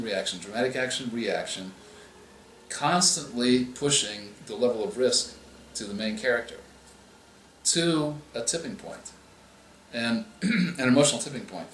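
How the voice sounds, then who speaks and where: A male author answering interview questions, explaining in a measured way and often restarting his phrases. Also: medium pitch, a bit bright, slight background noise. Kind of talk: interview answers on writing craft. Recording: echoey room with faint ambience.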